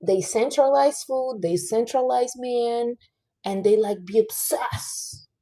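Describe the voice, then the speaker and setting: A woman's voice: fairly high pitch, slow pace, dark tone. A woman in her late thirties, chatting casually on a livestream and earnestly giving life advice to her viewers. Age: 30s. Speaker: livestream host.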